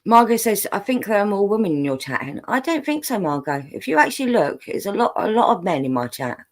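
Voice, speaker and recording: Chatty English woman with a lively voice. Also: mid-range voice, a little fast. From England, born in London, raised in Buckinghamshire. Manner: rambling and chatty, full of fillers and restarts, laughs often. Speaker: live-stream host. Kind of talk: casual live-stream chat, reading viewer messages.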